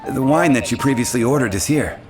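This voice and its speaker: A courteous bartender played by a man speaks drily at a middling pitch. It is video game dialogue, echoey, with music playing behind. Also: expressive, a little fast, lightly acted. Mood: courteous, dry. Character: dry, courteous male tavern bartender.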